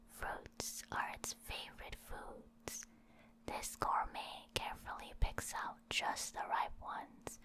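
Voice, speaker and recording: Woman, ASMR reader, fully whispered, breathy, unhurried pace, measured phrasing. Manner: slow, measured reading with spaced-out phrases. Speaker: ASMR host. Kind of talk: whispered ASMR reading of game encyclopedia entries.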